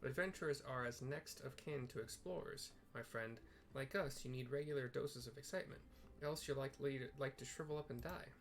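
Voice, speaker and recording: A man's voice: mid-range voice, brisk, hardly any pauses, lightly acted. A man voicing a florid explorer in a fantasy game, urging on adventurers with theatrical, old-fashioned turns of phrase. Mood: eager, persuasive.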